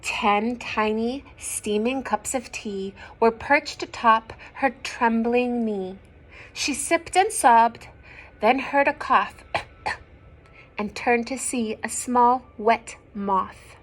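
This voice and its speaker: A woman reading a picture book aloud to young children, expressive and gentle, often dropping into hushed asides to her listeners. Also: a little high, slow pace, low-quality recording. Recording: some background noise.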